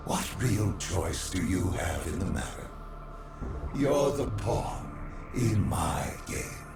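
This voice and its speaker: A man's voice: low voice, very animated, slow pace, heavily acted. A man playing an arrogant, godlike villain in a video game, menacing and grandiose as he interrogates. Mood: coldly menacing. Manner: grandiose, theatrical, commanding. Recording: reverberant voice under loud music.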